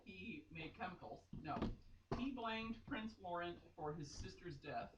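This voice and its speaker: A woman's voice: on the low side, lively intonation, a little slow. A woman giving a mock-dramatic read-aloud with casual, giggly, exclaiming asides. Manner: giggly, exclaiming, playfully theatrical. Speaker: reader performing a dramatic reading of a romance novel. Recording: echoey room, distant mic, faint noise.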